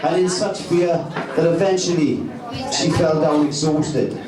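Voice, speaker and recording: A man's voice: fairly high pitch, measured pace. A man giving scripted storytelling narration as the narrator of a live stage pantomime. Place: club room during live pantomime show. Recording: roomy hall, distant mic, loud audience. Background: loud audience crowd.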